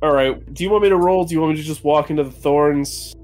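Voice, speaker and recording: A man's voice: on the high side, quick pace, darkish tone, soft background music. A man playing a tabletop game, chatting casually with dry, wry humour.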